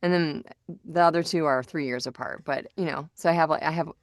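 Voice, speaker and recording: Low-voiced middle-aged woman, chatty podcast host. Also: fairly quick, dry recording, clean studio sound. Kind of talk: casual podcast chat.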